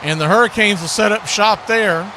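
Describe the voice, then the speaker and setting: An American man giving live football play-by-play in short clipped phrases that build with excitement. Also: high-pitched, normal speed, background noise. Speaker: play-by-play announcer.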